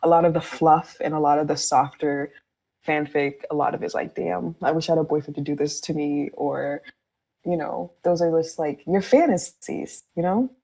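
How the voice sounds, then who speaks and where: A woman's voice: low-pitched, normal speed, creaky, dark tone, slightly rough. A woman speaking candidly and informally on a podcast, explaining her personal views in a casual conversational style.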